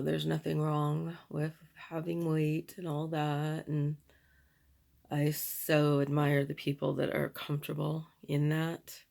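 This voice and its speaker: A middle-aged woman with a raspy voice, chatting candidly while doing her makeup, in a slow, rambling manner. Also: sits low, dark tone. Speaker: beauty vlogger.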